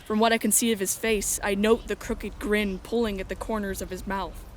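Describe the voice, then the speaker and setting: A woman's voice: mid-range voice, flat delivery, moderate pace, a little hiss or hum. A woman reading scripted first-person story narration as the narrator of a drama.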